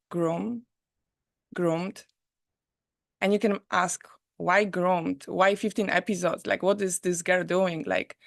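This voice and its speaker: A woman from Poland with a Polish accent hosts a live online premiere and guides listeners through a gentle reflection in a soft, inviting way over a video call. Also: medium pitch, no room sound, studio quality. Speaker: host.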